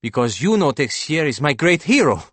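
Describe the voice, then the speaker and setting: A man's voice: on the high side, big pitch swings, normal speed, fairly bright tone, dry recording, pitched above the performer's own voice. A man voicing a passionate, blunt young woman painter in an audiobook, speaking with earnest emphasis. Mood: animated, intense.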